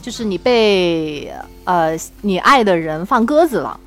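A woman's voice: fairly low pitch, lively intonation, unhurried, faint music behind. A woman co-hosting a podcast in casual, earnest conversation. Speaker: podcast co-host. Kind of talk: casual chat.

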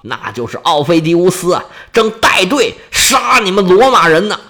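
A man's voice: high-pitched, sing-song, normal speed, bright, forceful tone, full character voice. A male storyteller voicing a mocking old city elder who taunts an enemy with scorn. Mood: scornful.

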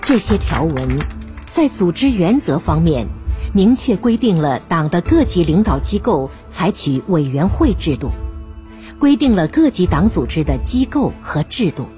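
A woman's voice: fairly low pitch, warm tone. A woman delivering formal, solemn scripted narration for a historical radio drama.

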